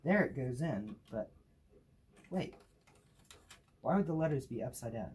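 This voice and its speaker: Mellow young man, puzzled muttering. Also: medium pitch, expressive, a little slow. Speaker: participant trying out old technology. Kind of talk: casual thinking aloud. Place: small cluttered room at a desk indoors. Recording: clear background noise with clicks.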